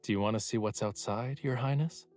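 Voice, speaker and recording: An actor playing a teasing, haughty young man in an intimate romance game, with a wry, unhurried delivery. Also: fairly low pitch, a little mellow, soft background music, lightly acted. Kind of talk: English game dub, intimate romance acting.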